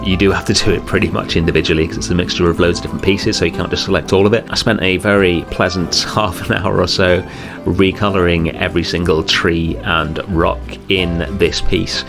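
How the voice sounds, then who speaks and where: A young man hosting his game channel, calmly talking through a build in an easygoing way with the odd um and uh. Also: sits low. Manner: easygoing, steady, with frequent ums and uhs. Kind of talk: relaxed build commentary over gameplay. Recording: music playing underneath.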